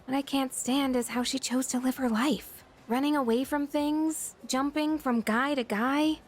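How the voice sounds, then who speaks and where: A woman's voice: sits high, steady tone, unhurried. A woman playing a proud, guarded, independent teenage girl in an anime-style video game, speaking in a frank, firm way. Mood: bitter, subdued. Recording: music behind the voice.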